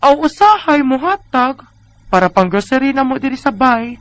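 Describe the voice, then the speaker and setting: A woman's voice: high-pitched, sing-song, moderate pace. A woman in her thirties with a Bisaya Filipino accent, playing a weary, discontented wife in a plaintive, sighing way for a radio drama. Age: 30s. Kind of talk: Bisaya radio drama acting and letter narration.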